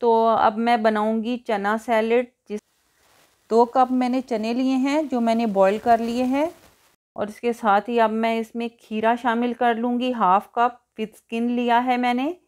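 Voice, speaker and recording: High-voiced woman narrating a recipe. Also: fairly even intonation, a little slow. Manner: instructional, step-by-step. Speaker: cooking show host. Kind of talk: recipe tutorial voice-over.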